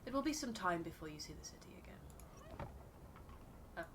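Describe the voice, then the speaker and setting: A woman's voice: medium pitch, huge pitch swings, normal speed, in a whisper. A woman reading game text aloud in a let's play, moving between dramatic narration and casual asides.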